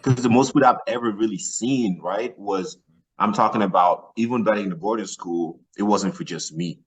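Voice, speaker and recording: Man from Nigeria, low-pitched voice, casual storytelling, colloquial phrasing, interview guest. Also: normal speed, low-quality recording. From northern Nigeria. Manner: colloquial, peppered with "man" and "you know". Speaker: podcast guest, former athlete.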